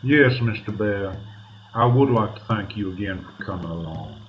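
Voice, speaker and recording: A man playing a grave, courteous police inspector with a formal, deliberate manner in an old-time radio drama. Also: fairly low pitch, lo-fi sound, a little hiss or hum, natural voice. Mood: hushed, courteous.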